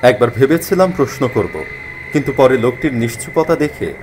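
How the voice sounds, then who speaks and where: A man narrating a spooky first-person story in an expressive, dramatized way. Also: medium pitch, moderate pace. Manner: expressive, acts out the character's worried thoughts. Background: faint music.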